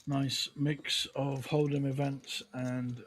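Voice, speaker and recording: A man's voice: mid-range voice, little pitch movement, takes it slowly, a little hiss or hum. A man giving chatty, conversational live commentary on a poker livestream.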